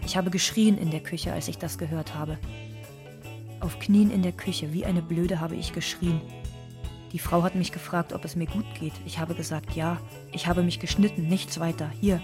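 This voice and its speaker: An actress playing a guarded, bitter migrant woman with a husky voice, speaking in short insistent phrases in a monologue. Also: on the low side, steady tone, moderate pace, music in the background, close to an everyday voice. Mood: bitter, wounded. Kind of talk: stage-like monologue in audio drama.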